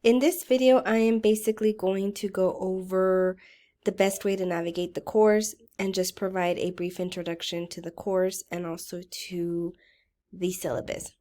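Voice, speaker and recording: A woman college writing instructor, still getting over a cold, explains how to navigate her course in a relaxed, conversational way. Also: medium pitch, moderate pace. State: voice rough from a recent cold. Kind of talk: recorded course walkthrough lecture.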